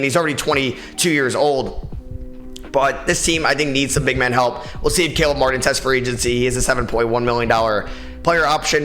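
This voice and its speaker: A young man with a raspy, tired voice, hosting a solo sports podcast in a casual, opinionated way with many fillers. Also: mid-range voice, a bit bright. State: tired, short on sleep. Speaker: podcast host.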